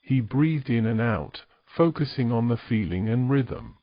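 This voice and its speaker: A man's voice: mid-range voice, lively intonation, unhurried, no echo, muffled recording. A man narrating a scripted story as the narrator of an audio drama.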